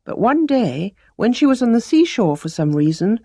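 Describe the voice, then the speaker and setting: A woman's voice: a little high, big pitch swings, moderate pace, dark tone, no echo. Woman storyteller in a dry, close recording. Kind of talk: scripted narration of teaching tales.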